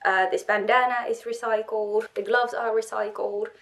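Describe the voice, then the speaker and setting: A young woman vlogging casually about her costume, rambling and self-deprecating with halting restarts, stuffy with a cold. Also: a little high, fairly even intonation. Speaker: cosplay vlogger. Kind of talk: casual vlog talk.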